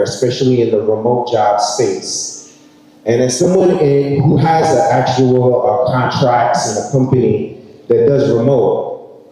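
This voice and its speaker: A man with a New York accent giving a relaxed, conversational speech at a graduation ceremony and addressing the audience directly. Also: medium pitch. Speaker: guest speaker, recruiter. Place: large ceremony hall. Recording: echoey hall, distant mic.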